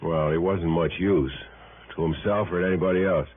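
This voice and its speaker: An American actor playing a matter-of-fact police sergeant with an offhand, folksy manner in a radio drama. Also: male voice, sits low, fairly even intonation, brisk, close to an everyday voice. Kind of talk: old radio detective drama acting. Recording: narrow, faintly noisy old radio recording.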